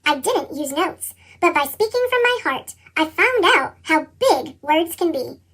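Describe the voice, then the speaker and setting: A reader with a squeaky, pitched-up chipmunk effect, narrating a children's picture book and acting out the dialogue expressively. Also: measured pace, exaggerated voice. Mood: earnest, determined. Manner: expressive storytelling, acts out dialogue.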